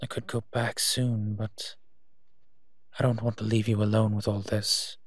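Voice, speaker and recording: Slow, soft-spoken male monster guardian, acted by a man. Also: on the low side, little pitch movement, dry recording. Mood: serious, concerned. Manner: soft-spoken, unhurried, playfully teasing. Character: gentle, teasing male monster protecting children, detective's partner. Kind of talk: intimate audio roleplay addressed to the listener.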